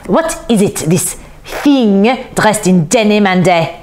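A woman voicing a rotund, pompous male fashion designer in a storybook, shrill, theatrical and imperious. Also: sing-song, a little slow, slight background noise. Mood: shrill frenzy.

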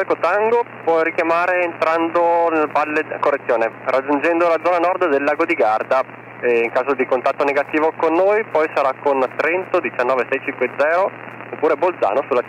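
A man's voice: a little high, a little fast. A man giving air traffic instructions as a controller over aviation radio. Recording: narrow, tinny aviation radio with noise.

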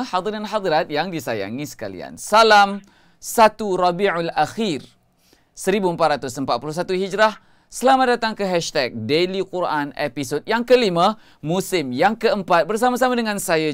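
A Malaysian man in his thirties leads a streamed Quran lesson from a small studio. His voice sits high for a man and sounds smooth and resonant. He speaks on a lapel mic, emphatic and animated, and repeats each key line. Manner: emphatic, repeats phrases, gestures a lot. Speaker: Quran recitation coach. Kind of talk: live-streamed religious lesson. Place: studio before a green-screen hedge backdrop.

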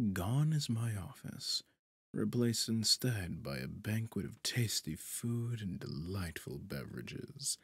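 A suave, possessive devil, played by a man for roleplay audio, speaks in a deep, breathy voice right up on a dry microphone. He takes his time, drawing out phrases with pompous, theatrical condescension and sinking often into near-whispers. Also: dry recording, full character voice. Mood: silky menace. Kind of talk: roleplay audio drama, ASMR-like monologue. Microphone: close, dry studio microphone.

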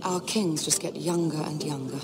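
A woman's voice: low-pitched, fairly even intonation, moderate pace, close to an everyday voice. A woman playing a wry, knowing gossip in a video game drama. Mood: wry, gossipy. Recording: music playing behind the voice.

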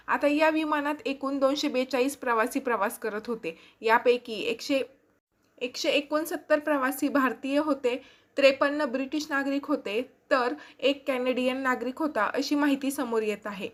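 Woman, high voice, YouTube presenter, explanatory news narration.

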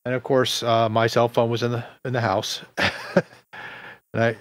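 A man interviewing a guest, with a loud voice, rambling casually with many fillers. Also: mid-range voice, level tone, normal speed.

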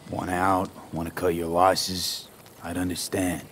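An actor playing a frank, serious mercenary in a video game, talking in clipped, blunt phrases. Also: male voice, low voice, monotone, measured pace, fairly bright tone, slight background noise. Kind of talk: video game cutscene dialogue.

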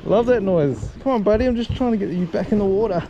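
A man's voice: high voice, fast, dull audio. A young Australian man filming himself fishing from a small boat, chatting and laughing with his mate as he reels in fish. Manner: excitable, laughing, matey banter. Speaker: fishing vlogger. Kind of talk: casual chat while fishing. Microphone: action camera on the boat. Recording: outdoor camera with wind and sea noise.

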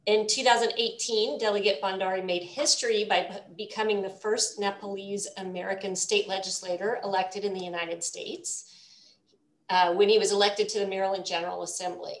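A woman's voice: medium pitch, echoey room, poor audio. A woman hosting a video call interview, reading an introduction in a friendly manner. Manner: friendly, reads the introduction with restarts. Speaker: interview host, moderator. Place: room, indoors.

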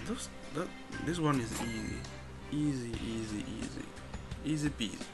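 Slow-paced man, gaming. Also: mid-range voice. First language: Spanish. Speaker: gamer commentating his play. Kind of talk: casual let's-play commentary.

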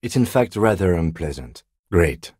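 A restrained, courteous police lieutenant for a video game. His voice is deep, dark and husky, delivered in a measured deadpan with a dry, sardonic edge. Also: dry recording, heavily acted. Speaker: game character, police detective. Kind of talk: video game dialogue voice lines.

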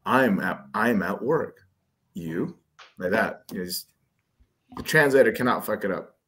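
A man's voice: on the low side, animated, a little slow, fairly bright tone. A man on a video call with a headset, chatting casually and bluntly, swearing and acting out short texting examples. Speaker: guest.

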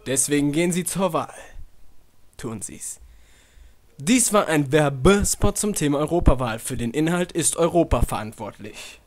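A man's voice: a little high, lively intonation, moderate pace. A man performing improvised comic talk and mock announcements for a radio parody.

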